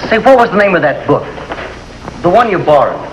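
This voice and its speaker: Quick, eager country boy. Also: high-pitched, very animated, muffled recording. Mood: curious. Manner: folksy, earnest, questioning. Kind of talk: 1950s American television drama acting. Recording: faintly noisy vintage television soundtrack.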